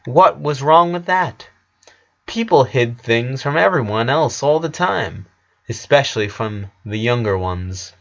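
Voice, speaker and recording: A man reads fan fiction aloud as a dramatic narrator. His voice sits at an average pitch and moves at a very slow pace. The recording sounds dull and lo-fi. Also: animated, fairly bright tone. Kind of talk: dramatic story reading.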